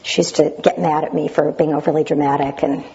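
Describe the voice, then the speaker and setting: A woman with a naturally loud voice reading a passage dramatically with up-and-down intonation, then sharing her memories in a reflective way. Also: low voice, little pitch movement, brisk, creaky, highs cut off. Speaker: Zen practitioner sharing memories of a teacher.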